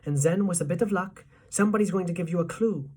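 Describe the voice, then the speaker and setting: Woman doing a measured, wily old grandmother. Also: on the low side, warm tone, slightly rough. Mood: scheming, fond.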